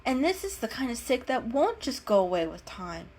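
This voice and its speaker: A woman's voice: a little high, very animated, normal speed, darkish tone, breathy edge, pitched above the performer's own voice. A woman voicing a skeptical but kindly female cat sidekick in a storybook reading, speaking emphatically. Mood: pleading.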